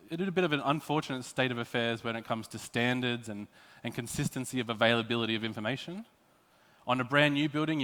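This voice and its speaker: A man's voice: medium pitch, fairly bright tone. An Australian man giving a prepared technical conference presentation, explaining things with emphatic phrasing. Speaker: conference speaker. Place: conference hall. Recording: echoey hall with long reverb.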